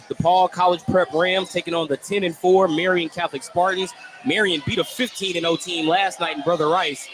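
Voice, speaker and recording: An American man doing live play-by-play basketball commentary for radio, getting excited on big plays. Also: high voice. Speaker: play-by-play sports announcer. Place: indoor basketball gym. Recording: noisy live gym broadcast. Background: loud gym ambience.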